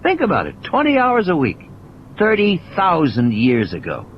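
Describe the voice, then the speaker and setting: A man's voice: fairly high pitch, wildly swooping pitch, normal speed, dull audio, a little hiss or hum, pitched above the performer's own voice. A man playing an injured, cynical and irritable scientist in an audio play, ranting emphatically in philosophical, insistent repetitions.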